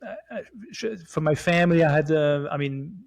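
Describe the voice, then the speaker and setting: A man in his forties from Switzerland, talking as a guest in a relaxed chat. His voice sits a little high for a man. He speaks haltingly, with lots of fillers, repeated words and restarts. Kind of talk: casual chat.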